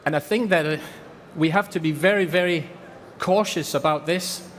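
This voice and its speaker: A man's voice: fairly high pitch, moderate pace, fairly bright tone, a little hiss or hum. Scottish man speaking in a big hall. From Scotland. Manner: earnest, repeats for emphasis. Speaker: company presenter. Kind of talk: trade fair presentation. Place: large exhibition hall.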